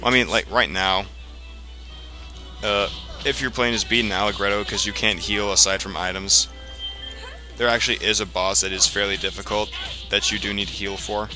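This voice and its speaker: A man giving casual, explanatory gameplay commentary in a halting way, as the host of a let's play. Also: fairly low pitch, fairly even intonation. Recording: game music behind the voice. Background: video game music.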